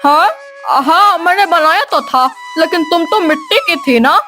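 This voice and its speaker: A woman voicing a gentle, humble village potter man, earnest and wondering, in a cartoon story. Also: extremely high pitch, expressive, measured pace, over music, pitched above the performer's own voice. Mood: astonished.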